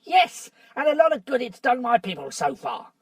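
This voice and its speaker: A storybook reader voicing a bitter, quarrelsome male dwarf who argues hotly and shouts. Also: mid-range voice, big pitch swings, normal speed, breathy edge, no room sound, studio quality, recorded from a distance, pitched above the performer's own voice. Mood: defiant. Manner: heated, defiant, shouting.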